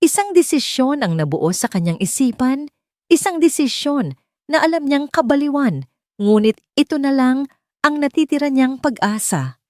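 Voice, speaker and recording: A woman's voice: high-pitched, animated, moderate pace, darkish tone, no room sound, clean studio sound. A woman reading scripted, dramatic story narration.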